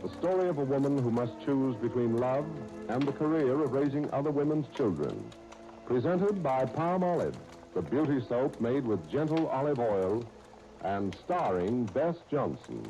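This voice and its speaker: A man's voice: medium pitch, big pitch swings, normal speed, warm tone, highs cut off, faint music behind. A man announcing and narrating a 1930s radio serial in a formal, dramatic storytelling manner.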